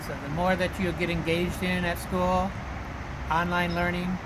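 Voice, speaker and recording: A man's voice: high-pitched, flat delivery, a little slow. Man reading aloud over noticeable noise. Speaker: school staff reading to students. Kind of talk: children's storybook read-aloud.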